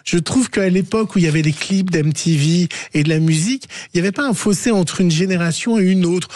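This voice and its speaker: A man, a columnist on a live radio talk show, lively and quizzing the others on music facts. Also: high voice, fairly quick, no room sound, studio quality.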